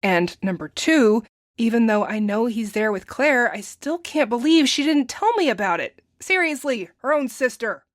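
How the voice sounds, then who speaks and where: An actress playing a playful, meddling, romcom-obsessed sister in an audio drama, chatty, sassy and casually profane. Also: female voice, on the high side, animated, moderate pace, dry recording, studio quality, natural voice. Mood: excited, scheming. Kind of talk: audio drama acting with narration to listener.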